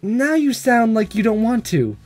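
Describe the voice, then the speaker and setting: A man voicing a blunt, serious, stubborn high school girl from a visual novel, arguing insistently. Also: very animated, measured pace, exaggerated voice. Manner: insistent, argumentative. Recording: music under the voice.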